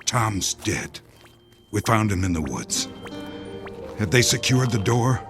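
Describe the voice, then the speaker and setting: An actor playing Jerry, a weary, resigned insider explaining the end of the world in a grave radio-drama manner. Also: male voice, fairly low pitch, big pitch swings, slow, fairly bright tone, faint music behind, full character voice. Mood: tense, urgent. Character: Jerry, weary male think-tank insider facing doomsday, resigned.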